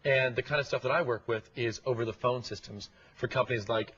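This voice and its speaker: A man's voice: mid-range voice, steady tone, fairly quick, fairly bright tone, breathy edge. A man being interviewed as a designer, explaining things casually. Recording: dull, muffled recording.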